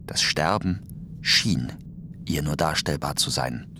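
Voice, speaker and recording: A man's voice: extremely low pitch, animated, slow, gravelly, pushed and bright, slight background noise. A man narrating a scripted documentary piece. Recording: music under the voice. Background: music.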